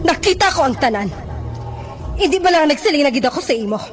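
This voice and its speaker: Stern fantasy queen, high pitch, emphatic motherly reproach, radio melodrama. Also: expressive, fast, loud music behind the voice. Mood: stern, worried. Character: stern, protective harpy queen, mother of the princess. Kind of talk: radio drama acting.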